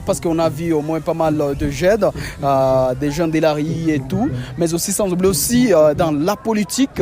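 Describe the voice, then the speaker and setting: A man hosts an interview in a fairly high voice, halting often on fillers as he builds his questions. Music plays clearly underneath his voice. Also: a little mellow. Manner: hesitant, many filler pauses. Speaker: interviewer and channel host. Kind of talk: on-location interview.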